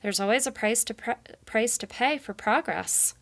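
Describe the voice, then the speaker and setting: A woman voicing a brisk, self-assured female beaver in a storybook, breezy and unapologetic in her retorts. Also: a little high, fairly bright tone, voice pushed up. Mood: dismissive.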